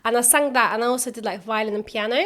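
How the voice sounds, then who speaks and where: A woman's voice: fairly high pitch, steady tone, very fast, a bit bright. A young woman with a South London accent, chatting casually as a podcast guest and using lots of fillers. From South London, England. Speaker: podcast guest, singer-songwriter.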